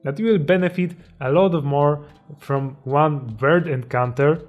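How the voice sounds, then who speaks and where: A man's voice: on the high side, measured pace, lo-fi sound. A young man with a Polish accent, a native Polish speaker, giving a motivational explainer with slightly hesitant, non-native phrasing over background music. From Poland. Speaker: self-improvement video creator.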